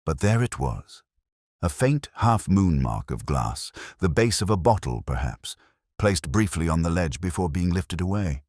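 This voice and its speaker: A man reading a scripted first-person detective story in a measured, reflective, literary manner. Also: low-pitched, lively intonation, darkish tone, dry recording, clean studio sound. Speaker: narrator.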